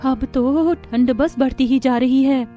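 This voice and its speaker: Excitable young village housewife for a cartoon, woman performer, high, exclaiming, easily alarmed, music underneath. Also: slow. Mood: eager curiosity. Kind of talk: Hindi moral-story cartoon dubbing.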